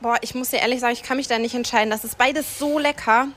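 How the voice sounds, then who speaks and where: A woman's voice: on the high side, steady tone, fast talker, fairly bright tone. A woman working as a radio field reporter, chatting enthusiastically and casually live on location, often while tasting food. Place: inside an ice cream parlour. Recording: background noise of an ice cream parlour.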